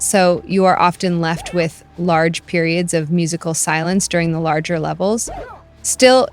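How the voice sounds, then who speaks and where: Brief: female narrator with a low-ish voice for a scripted game review, even and measured. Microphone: voice-over.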